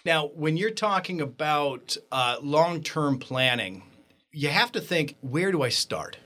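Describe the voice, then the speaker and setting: A man hosting a financial radio show, talking in an energetic, emphatic way. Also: a little high, slow pace. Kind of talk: upbeat financial radio talk.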